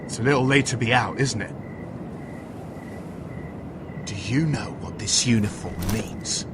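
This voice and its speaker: A man's voice: mid-range voice, normal speed, background noise, close to an everyday voice. An actor playing a menacing male palace guard in a dramatic video game, condescending and threatening.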